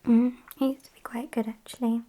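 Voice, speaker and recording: A British woman voicing a sassy, politely menacing vampire kidnapper in a breathy, deadpan ASMR whisper. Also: on the high side, natural voice. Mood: chatty, sassy. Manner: unhurried, chatty, deadpan.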